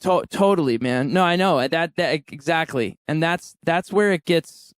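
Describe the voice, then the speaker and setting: A man's voice: on the high side, normal speed, smooth, no room sound, clean studio sound. A man in his thirties, a musician guest talking candidly in a casual interview and laughing often. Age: 30s.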